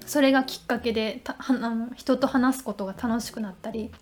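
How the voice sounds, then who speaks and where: Young female podcast co-host, higher-pitched, chatty and informal, light music bed. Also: fairly even intonation, quick pace, a little mellow. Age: young adult. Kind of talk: casual chat.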